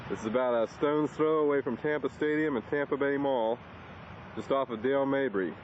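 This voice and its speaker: Easygoing young dad narrating behind a camcorder, muffled VHS tape sound. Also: male voice, medium pitch, brisk, slight background noise. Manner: chatty, narrates while filming. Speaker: father filming home video. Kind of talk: casual home video narration. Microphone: camcorder mic.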